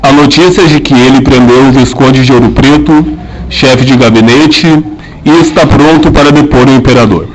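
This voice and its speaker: A man narrating an amateur historical radio drama, telling it in a measured and solemn way. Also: medium pitch, a little hiss or hum, rough recording.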